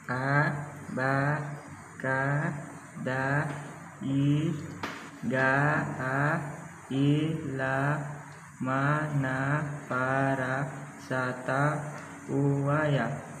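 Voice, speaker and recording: A man's voice: mid-range voice, flat delivery, drawn-out words, pauses often. A man playing an earnest, respectful young student who tells his story in an amateur radio drama. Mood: reciting. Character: earnest, respectful schoolboy hero telling his life. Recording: echoey hall, noticeable background noise.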